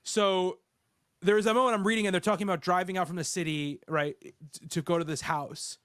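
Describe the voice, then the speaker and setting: A man's voice: high-pitched, fairly even intonation, fairly quick, fairly bright tone. A man co-hosting a book club chat, teasing his co-hosts and telling stories playfully. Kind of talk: casual chat.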